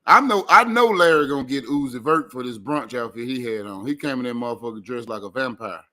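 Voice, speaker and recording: A comedian from Memphis with a strong Memphis accent spins jokes as co-host of a podcast. His voice is bright and forceful, at a middle pitch for a man. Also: brisk. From Memphis, Tennessee. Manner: animated joke-telling storyteller. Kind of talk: casual comedic podcast chat.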